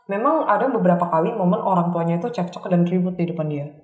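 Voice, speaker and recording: Low-voiced Indonesian woman in echoey room. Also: fast, a little mellow, muffled recording. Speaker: psychic reader on a talk show. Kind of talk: casual conversational explanation. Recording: roomy, echoing voice recording.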